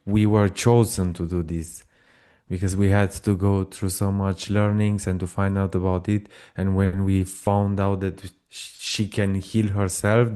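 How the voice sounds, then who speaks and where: Male guest, Romanian accent, mellow and smooth, halting non-native English. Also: fairly low pitch. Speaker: guest, breathwork practitioner. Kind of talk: personal storytelling in an interview.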